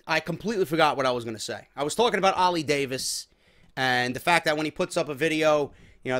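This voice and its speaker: Man, New York accent, slightly high voice, casual podcast host, emphatic, swears freely. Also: a bit bright. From New York, USA. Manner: informal, heavy swearing, emphatic. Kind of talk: casual chat to viewers.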